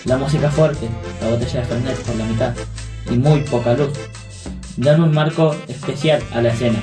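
A man's voice: mid-range voice, normal speed, music in the background. A man with an Argentine accent solemnly narrating a scripted radio drama.

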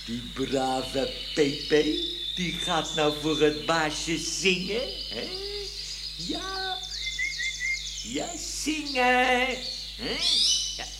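A man's voice: on the high side, animated, very slow. A man acting in a comic radio play as an obsessive, touchy and doting canary breeder with a Netherlands Dutch accent, exclaiming and cooing insistently. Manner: coos to pets, insistent, exclamatory. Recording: loud birdsong and chirping noise behind. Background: canaries and other birds chirping.

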